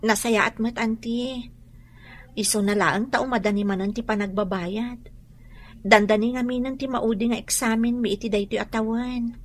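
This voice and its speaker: A woman's voice: a little high, fairly even intonation, moderate pace, a little hiss or hum, close to an everyday voice. A woman playing a polite, caring young student in an Ilocano radio drama, with an Ilocano Filipino accent. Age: young adult.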